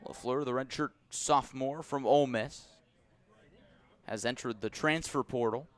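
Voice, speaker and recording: A man calling live baseball play-by-play for the radio in a measured, descriptive way. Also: mid-range voice. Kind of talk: live radio sports commentary.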